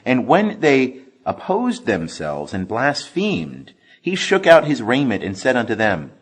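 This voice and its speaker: A man reading scripture as scripted audiobook narration, with expressive, deliberate emphasis. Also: medium pitch, breathy edge. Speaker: scripture reader.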